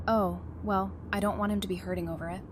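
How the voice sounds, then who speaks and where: A woman's voice: mid-range voice, steady tone, quick pace, noisy background, natural voice. A woman acting a wry, guarded, tough-minded survivor in frank, conversational audio drama dialogue. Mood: hesitant, vulnerable.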